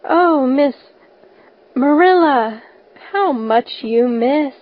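A woman's voice: high voice, expressive, very slow, heavily acted. A woman voicing an emotional, dreamy orphan girl in an audiobook, earnest and effusive, on a slightly dull recording. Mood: wondering. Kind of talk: audiobook character voice.

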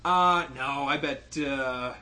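A man co-hosting a podcast in casual chat, gravelly tonight, laughing often and joking. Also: medium pitch, slow pace. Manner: laughs often, jokey and animated. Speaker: podcast co-host.

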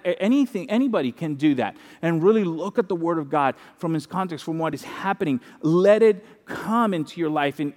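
A man with a high voice preaches a sermon in a lively, conversational way. He grew up in the Dominican Republic and often repeats key lines for emphasis. His voice carries a long reverb, as in a large hall. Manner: animated, conversational preaching with repetition and asides. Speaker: pastor.

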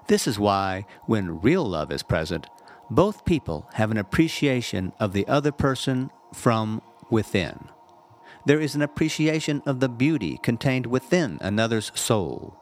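A man, a reverend, reading a spiritual essay aloud in a measured, earnest and unhurried way. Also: mid-range voice, slight background noise.

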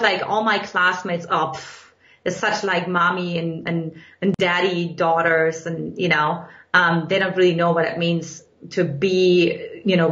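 German-accented woman guest, reflective storyteller, roomy muffled recording. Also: on the low side. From Eastern Germany. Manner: earnest, reflective, expressive storytelling. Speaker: guest, dating coach. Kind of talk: podcast interview storytelling. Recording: echoey, dull lo-fi sound.

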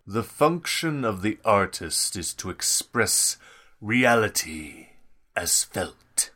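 A man voicing a menacing male goon enforcer who talks slowly and coldly. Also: on the low side, big pitch swings, sharp tone, exaggerated voice. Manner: slow, measured, coldly menacing.

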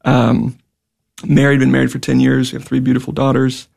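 Houston guy, gravelly voice, measured, studio guest. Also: mid-range voice, completely flat delivery, a little mellow. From Houston area, Texas. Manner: measured, with fillers. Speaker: guest, school vice president. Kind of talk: radio interview. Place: radio studio.

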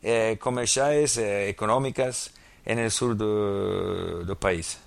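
A man whose first language is English, hosting in halting learner's Portuguese with Spanish slips and lots of fillers as he searches for words. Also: on the low side, creaky. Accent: English-speaking learner of Portuguese, Spanish slips. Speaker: podcast host. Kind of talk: casual conversation.

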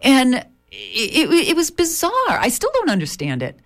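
A woman's voice: high voice, huge pitch swings, moderate pace. A woman midwife and author talking in an interview, reflective and hesitant, often restarting her sentences. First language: English. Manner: reflective, many restarts and fillers. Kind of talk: interview conversation.

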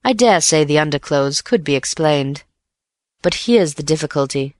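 Measured young male detective in an audiobook, voiced by a woman, low-set and dry. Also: dry recording. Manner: calm, measured, reasoning. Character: calm, thoughtful young man detective. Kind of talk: audiobook character voice.

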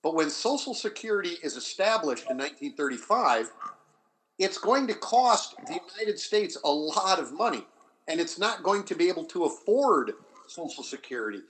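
Higher-voiced man, halting academic explainer. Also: big pitch swings, a bit bright, slight background noise, low-quality recording. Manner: deliberate explaining with halting restarts and repeated phrases. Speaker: history scholar and panelist. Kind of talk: academic discussion, off the cuff.

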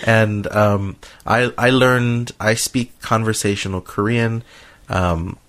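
A man's voice: lowish voice, fairly even intonation, takes it slowly, a bit bright. A man co-hosting a podcast in casual, unscripted chat, with a joking manner. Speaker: podcast co-host.

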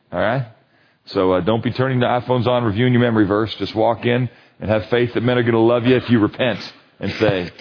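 Husky-voiced man preaching. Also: on the low side, highs cut off. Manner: conversational, emphatic teaching with frequent checks for agreement. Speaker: pastor leading a men's Bible study. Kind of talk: sermon.

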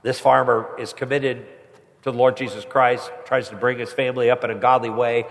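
A pastor preaching a sermon with a stuffy head cold, climbing higher and louder when he presses a point. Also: level tone, fairly quick, vocal fry. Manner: rises in pitch and volume when pressing a point. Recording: long hall reverb.

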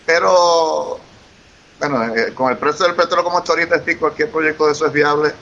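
Venezuelan man, interview guest, effusive, bright tone, dull lo-fi recording with faint hiss. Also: fairly high pitch, a little fast. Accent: Venezuelan Spanish. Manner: enthusiastic, effusive, repeats himself. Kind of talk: casual interview conversation.

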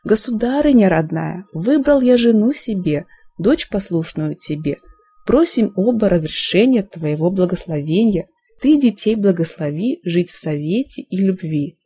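A female reader plays a young storybook prince, wistful and in love. The voice is mellow and a little husky, speaking verse earnestly with a lively rise and fall. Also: medium pitch, moderate pace. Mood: earnest pleading. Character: young fairy-tale prince, lovesick, earnest and melancholy.

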